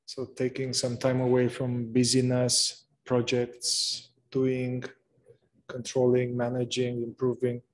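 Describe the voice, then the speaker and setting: A man leading a guided meditation, speaking gently in short phrases with long pauses between them. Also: medium pitch, completely flat delivery, rough recording. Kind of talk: slow guided meditation narration.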